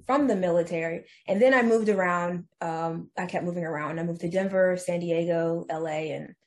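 A woman's voice: lowish voice, darkish tone. Blunt, profane young woman guest. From United States. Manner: blunt, profane, fast-changing opinions. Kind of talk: casual podcast interview.

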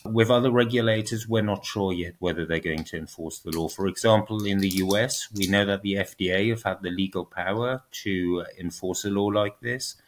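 Low-voiced man explaining policy calmly. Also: slight background noise. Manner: measured, explanatory, lightly ironic. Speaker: guest, transparency advocate. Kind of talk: podcast interview.

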